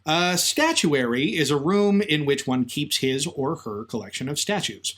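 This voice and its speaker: A man's voice: fairly high pitch, sing-song, a little slow, a bit bright. A man co-hosting a podcast in a conversational, semi-scripted storytelling style. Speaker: podcast co-host.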